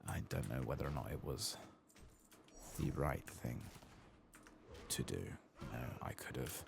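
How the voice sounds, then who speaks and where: A soft-spoken man doing an ASMR game playthrough, hushed and sometimes whispering, musing in a reflective, hesitant way as he plays, close to the microphone. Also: very deep voice, animated, a little slow, creaky, noisy background. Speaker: ASMR gaming video host.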